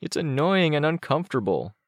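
A man voicing an excitable, defensive young boy with a complaining, exclaiming manner in an audiobook story. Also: on the high side, brisk, no echo, studio quality, voice pushed up. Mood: annoyed.

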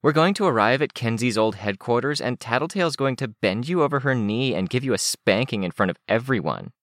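A man's voice: mid-range voice, animated, fairly quick, fairly bright tone, dry recording, clean studio sound, voice pushed up, close to an everyday voice. A man voicing a blunt, needling teenage girl who scolds and teases in an audiobook story. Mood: teasing. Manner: scolding, teasing.

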